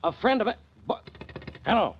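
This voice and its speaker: An actor playing a gruff, impatient male police inspector in a 1940s radio drama, with a husky, gravelly voice and brusque manner. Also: sits high, very animated, moderate pace, dull audio, heavily acted.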